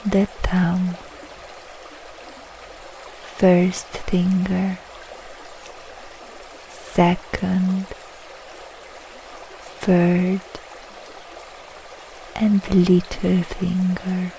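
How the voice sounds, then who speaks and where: Very slow, mellow woman guiding meditation. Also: on the low side, completely flat delivery, slight background noise. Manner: soothing, unhurried, soft short phrases with long pauses. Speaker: yoga nidra meditation guide. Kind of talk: slow guided relaxation meditation.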